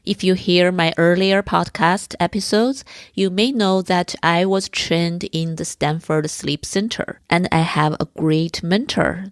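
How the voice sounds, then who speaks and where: A woman with a cold-roughened, hoarse voice, hosting an interview and politely asking questions. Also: sits low, no room sound, clean studio sound. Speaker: podcast host. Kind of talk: conversational interview.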